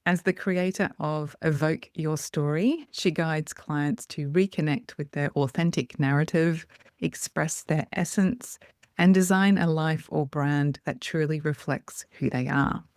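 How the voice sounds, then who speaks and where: A woman's voice: deep voice, a little slow, a little mellow, dry recording, studio quality. A woman hosting a podcast, reading a scripted introduction and asking questions. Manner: scripted intro, then brief listening murmurs. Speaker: podcast host. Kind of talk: podcast interview.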